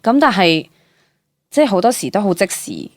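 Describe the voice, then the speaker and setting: A young woman with a Hong Kong Cantonese accent chats casually as an interview guest, in an animated, colloquial, exclamatory way. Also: mid-range voice, normal speed, no echo, studio quality. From Hong Kong, Southern District. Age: young adult. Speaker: actress, podcast guest.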